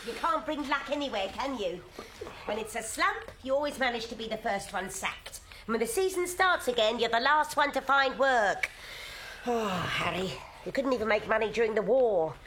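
Actress as a fiery, high-pitched nagging wife. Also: female voice, expressive, moderate pace, background noise, lightly acted. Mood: scornful nagging. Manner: scolding, sarcastic, emotionally volatile. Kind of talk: dramatic stage-play acting.

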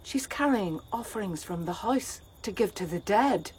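An actress playing a woman of the chorus in a classical tragedy, gently questioning and warning. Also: medium pitch, lively intonation, normal speed, slight background noise, close to an everyday voice. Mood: concerned. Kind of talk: classical tragedy stage acting.

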